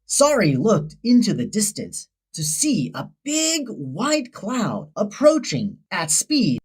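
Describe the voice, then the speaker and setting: Very animated woman reading a children's story. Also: medium pitch, slow pace, breathy edge. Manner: dramatic, expressive storytelling. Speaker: storybook narrator. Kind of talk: scripted children's story read-aloud.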